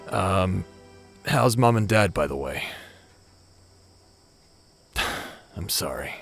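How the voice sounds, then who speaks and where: A man's voice: low-pitched, expressive, gravelly, faint music behind, heavily acted. A man playing a remorseful former space pirate captain and awkward brother in a dramatic sci-fi audio roleplay. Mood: remorseful, hesitant. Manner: halting, sighing, hesitant delivery.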